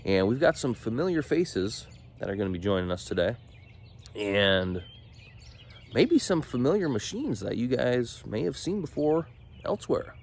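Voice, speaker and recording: A man's voice: mid-range voice, sing-song, moderate pace, creaky, a little mellow, a little hiss or hum. A man with a nasal voice, stuffy from a cold, chatting casually to camera as an off-road vlogger. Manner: upbeat, chatty, easygoing. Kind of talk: casual vlog narration to camera.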